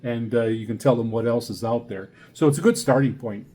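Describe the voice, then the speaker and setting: A man giving a casual, unscripted product review as a vlogger, in a halting, rambling way with repeated words. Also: medium pitch, mellow.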